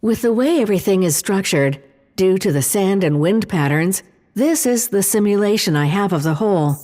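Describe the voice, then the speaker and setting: A mellow female artificial intelligence acted by a woman speaks inside a long, hall-like reverb. She is calm and precise, laying out analysis in measured, formal sentences, as in a sci-fi audio drama. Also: mid-range voice, natural voice. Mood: measured, analytical.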